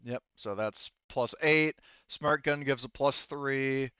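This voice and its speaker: A man narrating as game master in a casual tabletop roleplaying session, thinking aloud haltingly and swearing mildly. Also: medium pitch, sharp tone, clean tone, dry recording, muffled recording.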